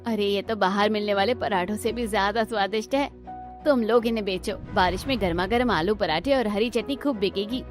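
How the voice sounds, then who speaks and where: A woman's voice: mid-range voice, a little fast, soft background music, natural voice. A woman voicing a nosy, pushy neighbourhood auntie in a cartoon story, blunt and outspoken. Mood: impressed.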